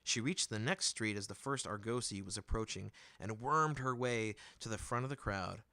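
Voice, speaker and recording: A man giving an expressive scripted narration of fantasy fiction, as an audiobook reader. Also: on the low side, moderate pace, no room sound.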